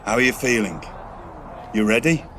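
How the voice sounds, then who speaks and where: A man's voice: mid-range voice, animated, normal speed, a bit bright, a little hiss or hum, natural voice. Man playing a wry wrestling mentor. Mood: wry, proud. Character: seasoned male wrestling mentor, wry, fatherly, proud. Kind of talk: video game cutscene acting.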